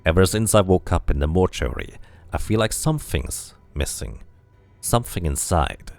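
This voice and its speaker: A man voicing a scarred amnesiac immortal hero who asks calm, plain questions. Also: sits low, moderate pace, gravelly, full character voice. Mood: curious.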